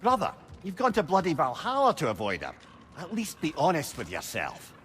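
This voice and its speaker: An actor playing a chatty, wry older companion with a Scottish accent in a video game, teasing and talkative. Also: male voice, fairly high pitch, huge pitch swings, a little fast, a bit bright, slight background noise. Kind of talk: dramatic video game dialogue.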